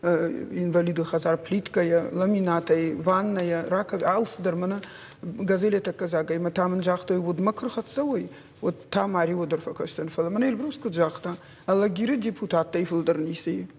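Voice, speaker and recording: A man with a notably high, rough-edged husky voice, a native Ossetian speaker. He talks off the cuff, stopping and repeating himself. Long reverb trails his words. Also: steady tone, brisk, a little mellow. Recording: very echoey, reverberant room.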